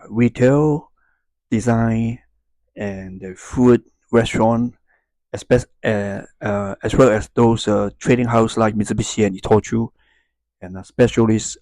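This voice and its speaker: A man in his fifties from Hong Kong, a native Cantonese speaker with a Hong Kong Cantonese accent, talks casually to viewers, haltingly searching for words. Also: on the low side, a little mellow, no room sound. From Hong Kong and Macau. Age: 50s. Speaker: YouTuber. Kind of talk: casual talk to viewers, unscripted.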